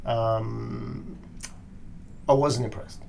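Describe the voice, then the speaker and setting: A man from Australia talks through his career as a podcast guest. His voice is deeply gravelly, full of fry at the ends of phrases, at a middling pitch. He explains at length and restarts his sentences often. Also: fairly even intonation, darkish tone, slight background noise. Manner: measured, explanatory, with frequent restarts. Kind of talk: conversational podcast interview.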